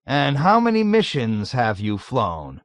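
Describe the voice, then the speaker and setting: A man voicing a jovial, nervous middle-aged colonel who gushes loudly and is eager to please. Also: mid-range voice, wildly swooping pitch, unhurried, dry recording, pitched above the performer's own voice. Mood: gushing. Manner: loud, gushing, eager to please.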